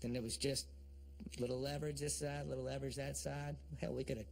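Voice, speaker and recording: A man's voice: medium pitch, little pitch movement, quick pace, creaky, darkish tone. A man co-hosting a salvage show, chatting in a teasing, easygoing way. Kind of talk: casual chat.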